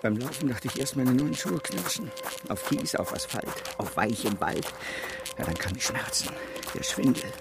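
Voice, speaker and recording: A man's voice: mid-range voice, sing-song, moderate pace, gravelly, over loud music. An actor playing an ailing, adventurous man in a German radio drama, indignant and theatrical, swinging between brooding and exclamation. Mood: pained, alarmed.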